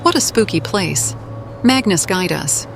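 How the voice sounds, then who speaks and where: A woman's voice: fairly low pitch, expressive, unhurried, gravelly, loud music behind the voice, low-quality recording. A woman narrating a fantasy game playthrough in character as the player.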